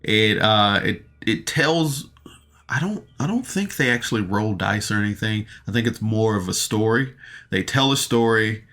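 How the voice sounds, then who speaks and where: A man's voice: lowish voice, animated, moderate pace, a bit bright. A man giving a casual solo podcast review in a rambling, hesitant, enthusiastic fan's way, often backtracking and using slangy wording.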